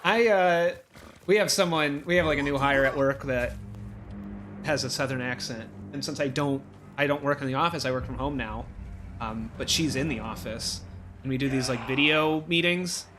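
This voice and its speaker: A man's voice: mid-range voice, normal speed, music in the background. A young man, chatting casually while playing a video game, hesitant and full of restarts. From Ohio, USA. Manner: rambling, hesitant, many fillers and restarts. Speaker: co-host. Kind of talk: casual gameplay chat.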